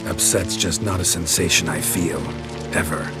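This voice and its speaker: An actor playing a stoic, weary mutant monster hunter in a game, speaking in terse, deadpan lines. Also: male voice, low voice, normal speed, close to an everyday voice. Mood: dry, deadpan. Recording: loud game music behind.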